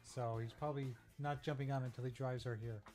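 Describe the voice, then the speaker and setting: A man's voice: medium pitch, a little fast, faint music behind. A man hosting a casual live stream, joking and bantering with the chat while he fiddles with his mic. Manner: talks over beer, relaxed and cussing. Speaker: stream host.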